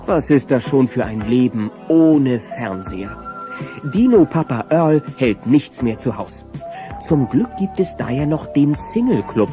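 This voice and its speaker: A man's voice: mid-range voice, sing-song, a little slow. A man narrating a scripted audio play as a storyteller. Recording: dull cassette with faint music.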